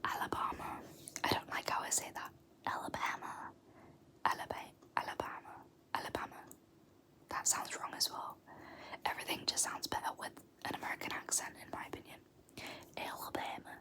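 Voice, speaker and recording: A young British woman whispering softly in an ASMR video, repeating words slowly with small laughs. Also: poor audio. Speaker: ASMR creator. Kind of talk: whispered ASMR word pronunciation.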